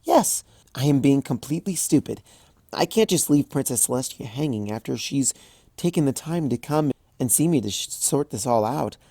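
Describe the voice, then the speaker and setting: An anxious young unicorn mare talks things through in an earnest, worried tone, as a reader puts her on. It stays near the narrator's own voice, a touch quieter, with a dry close sound. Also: medium pitch, lively intonation, normal speed, no echo, voice pushed up. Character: anxious studious young female unicorn pony.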